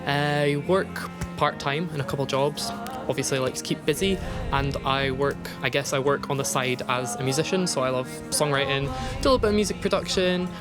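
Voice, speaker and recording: Young man, student interviewee, relaxed chatty talk, music playing behind. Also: mid-range voice, fairly quick. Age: 20s. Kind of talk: casual interview.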